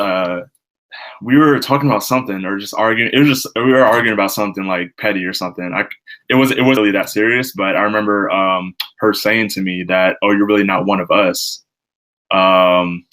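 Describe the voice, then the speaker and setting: Low-voiced young man, casual and rambling. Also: rough recording. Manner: relaxed, rambling with many fillers. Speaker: student guest. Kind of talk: casual conversation.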